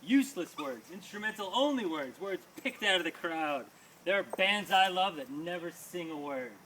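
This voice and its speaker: A man's voice: high-pitched, lively intonation, moderate pace. A man performing his own poems at a live reading, with an emphatic, rhythmic delivery and casual asides. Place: small live reading before an audience. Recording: distant mic, noisy live room.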